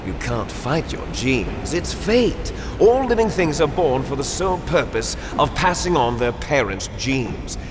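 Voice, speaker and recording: An actor playing a bitter, fatalistic video game villain, lecturing with menace. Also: male voice, mid-range voice, sing-song, measured pace, few pauses, noisy background. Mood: menacing resolve. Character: bitter, fatalistic villain, cloned son of a soldier. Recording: long echoing hall reverb.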